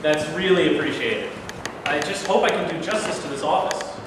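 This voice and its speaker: A man's voice: on the high side, lively intonation, normal speed, natural voice. A man in a staged reading playing a humble, uneasy newly sworn American president. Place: large room at a live staged reading. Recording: very echoey hall, distant hiss.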